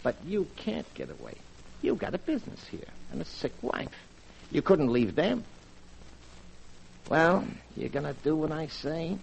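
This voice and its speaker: An actor playing a threatening gangster blackmailer who talks in tough, clipped street slang, in a 1940s radio drama. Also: male voice, fairly high pitch, huge pitch swings, measured pace, vocal fry, pauses often, slight background noise, exaggerated voice. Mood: menacing. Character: menacing male gangster blackmailer, cold and threatening. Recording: muffled 1940s radio transcription.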